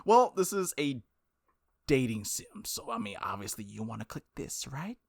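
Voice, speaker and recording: A man's voice: mid-range voice, sing-song, normal speed, creaky. A man hosting a gaming video, reading aloud and chatting casually in a playful, exclamatory way that often breaks off mid-thought. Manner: playful, self-interrupting, exclamatory. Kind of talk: casual let's play chat and reading aloud.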